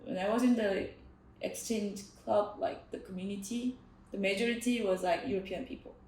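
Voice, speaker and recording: A woman's voice: medium pitch, fairly even intonation, moderate pace, darkish tone, reverberant, a little hiss or hum, low-quality recording. A young woman whose first language is Korean, co-hosting a casual podcast chat with many "like" fillers. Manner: chatty, frequent "like" fillers. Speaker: podcast co-host.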